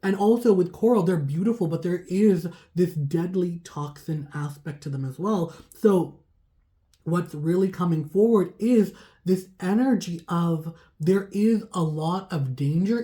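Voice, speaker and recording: A young woman doing a casual, chatty tarot reading, hoarse and raspy from a cold, rambling with lots of fillers. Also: fairly low pitch, moderate pace, mellow. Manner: chatty, rambling, heavy use of "like". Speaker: tarot reader.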